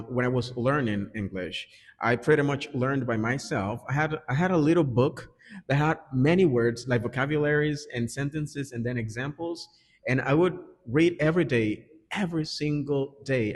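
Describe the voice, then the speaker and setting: A man with a Dominican Spanish accent, a native Spanish speaker, giving earnest conversational interview answers as an English teacher. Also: medium pitch. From Dominican Republic. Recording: echoey reverberant room.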